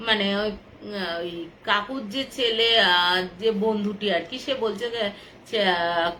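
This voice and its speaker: A woman telling a story informally, in a halting, conversational way with repetitions. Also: medium pitch, bright, forceful tone. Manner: halting, conversational, repeating herself. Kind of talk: informal spoken storytelling, acting out dialogue. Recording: clear background noise.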